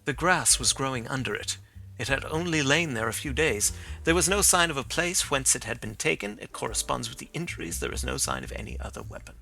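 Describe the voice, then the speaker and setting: A man reading an audiobook, voicing a confident, sharp detective who speaks in crisp, assured statements. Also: big pitch swings, brisk, faint music behind, heavily acted. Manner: crisp, assured statements, sometimes low murmurs to himself.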